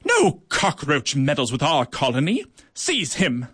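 A man's voice: fairly high pitch, huge pitch swings, measured pace, a bit bright, slightly rough, no echo, clean studio sound, exaggerated voice. A commanding female ant queen in a read-aloud story, barking and crowing her orders. The voice is lifted a little above a man's own. A man puts her on.

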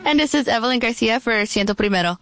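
A woman's voice: a little high, expressive, quick pace, a bit bright, slight background noise. A woman co-hosting a student podcast, presenting upbeat scripted links. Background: music.

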